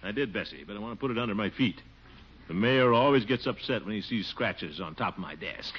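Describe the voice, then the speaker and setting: An actor playing a pompous, blustering middle-aged city commissioner and uncle, with an American accent, in 1940s radio comedy style. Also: male voice, fairly low pitch, lively intonation, a little fast, husky. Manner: blustering, swooping, theatrical exclamations. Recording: muffled, noisy 1940s radio recording.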